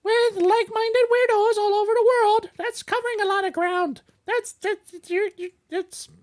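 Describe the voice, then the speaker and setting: A woman's voice: extremely high pitch, steady tone, moderate pace. A woman from New York co-hosting a podcast, joking around and stumbling through read-out network promos. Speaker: podcast co-host reading network news. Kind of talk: casual podcast chat. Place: indoor studio with teleprompter.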